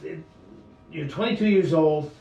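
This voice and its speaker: A man hosting a talk radio interview, halting and full of fillers and restarts as he asks questions. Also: fairly high pitch, warm tone, reverberant, far from the mic. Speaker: radio talk show host.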